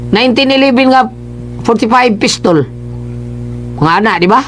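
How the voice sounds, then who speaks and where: A man with a Cebuano accent chatting casually and haltingly as a radio co-host. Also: very high-pitched, animated, fairly bright tone, a little hiss or hum. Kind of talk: casual chat.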